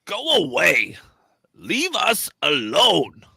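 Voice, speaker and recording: A man voicing a menacing dream workman in a fan radio play, with a rasping, strained voice. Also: high voice, wildly swooping pitch, drawn-out words, sharp tone, dry recording, exaggerated voice. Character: menacing dream workmen, hostile creatures hissing threats.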